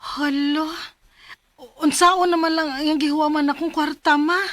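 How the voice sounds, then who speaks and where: An actress playing an earnest, plaintive young Filipina overseas worker in a radio drama. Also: female voice, sits high, steady tone, a little slow, fairly bright tone, slight background noise. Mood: worried. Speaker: radio drama lead and narrator.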